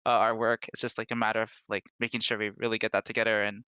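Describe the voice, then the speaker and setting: A man's voice: fairly low pitch, level tone, fast, bright, forceful tone, clean tone. A man speaking casually and confidently as a project lead in an interview. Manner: confident, insistent. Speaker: indie game project lead, podcast guest.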